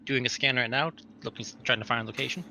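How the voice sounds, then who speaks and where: A man's voice: mid-range voice, fast talker, creaky, pushed and bright, slight background noise. A man talking casually as a player in a tabletop roleplaying game. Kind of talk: casual in-character game chat.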